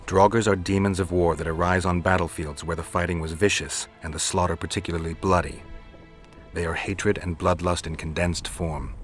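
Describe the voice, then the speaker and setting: Gruff sardonic warrior for hire, male actor, very low gravelly voice, deadpan video game delivery, faint music behind. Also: moderate pace, close to an everyday voice. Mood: businesslike. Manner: terse, dry, deadpan. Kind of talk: video game dialogue acting.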